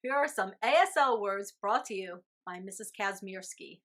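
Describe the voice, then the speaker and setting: Medium-pitched woman introducing story time. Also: lively intonation, moderate pace, fairly bright tone. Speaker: teacher introducing a read-aloud.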